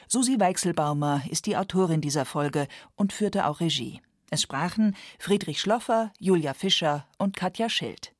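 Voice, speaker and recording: A woman announcing the credits of a radio podcast in a crisp, even readout. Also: fairly low pitch, moderate pace, few pauses, no room sound, clean studio sound.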